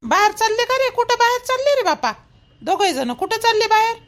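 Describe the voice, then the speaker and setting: A woman's voice: extremely high pitch, animated, brisk, a bit bright. A woman with a Vidarbha Marathi accent playing a caring, fussy mother-in-law in a village cartoon, insistent and repeating herself in a homely way. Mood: curious, coaxing.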